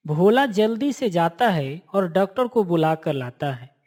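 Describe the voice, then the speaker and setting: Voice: medium pitch, sing-song, a little slow, darkish tone. A narrator reading a scripted moral story for a cartoon in a storytelling style.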